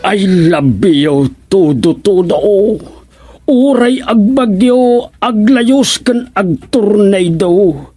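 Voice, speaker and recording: A flustered, lovestruck village suitor in an Ilocano-accented radio comedy. He stammers and exclaims in a fairly high, mellow voice that is slightly husky. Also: lively intonation, extremely slow, soft background music, exaggerated voice.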